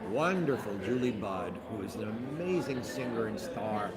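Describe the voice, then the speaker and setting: A flamboyant older man chatting casually as a festival host, theatrical and self-mocking, his voice a bit deeper than usual today. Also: very animated, moderate pace, a loud crowd. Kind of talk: casual chat on a livestream.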